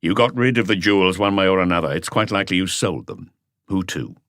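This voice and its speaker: A man playing a patient male police inspector who asks measured, pressing questions in an audiobook. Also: low-pitched, a bit bright, no echo, clean studio sound. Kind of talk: audiobook character voice.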